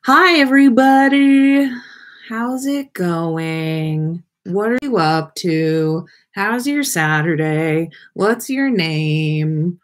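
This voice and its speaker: A woman's voice: fairly low pitch, lively intonation, extremely slow. A woman hosting a casual livestream, chatting with viewers in a playful, rambling way as she thinks aloud. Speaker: livestream podcast host. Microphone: webcam livestream setup.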